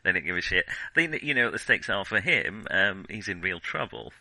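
A man's voice: sits low, sing-song, brisk, vocal fry, pushed and bright, no room sound, poor audio. A man co-hosting a film podcast in casual discussion, meandering and correcting himself as he feels his way through his thoughts. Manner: hesitant, meandering, self-correcting.

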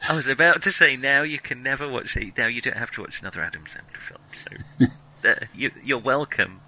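A man's voice: medium pitch, brisk, sharp tone, slight background noise, rough recording. A man co-hosting a film podcast in casual discussion, hesitant and self-questioning yet blunt in his opinions. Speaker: podcast co-host reviewing films.

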